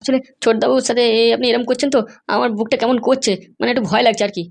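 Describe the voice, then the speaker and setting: An anxious cartoon accomplice, played by a woman, obedient and deferential to the boss. The voice is fairly high and creaky, and the questions come very fast. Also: steady tone. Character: anxious, obedient accomplice serving a scheming madam. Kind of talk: comedy cartoon dialogue.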